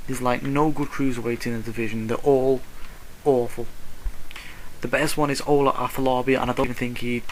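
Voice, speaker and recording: A man's voice: medium pitch, fairly even intonation, a little fast, slight background noise, low-quality recording. A British man giving casual solo boxing commentary in a blunt, slangy, opinionated way. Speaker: boxing vlogger.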